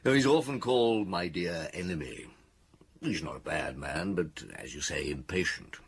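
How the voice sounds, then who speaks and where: A man's voice: low voice, expressive, moderate pace. A man acting a patient, wry and genial police chief inspector in a radio drama, musing in a British accent. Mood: wry, reflective.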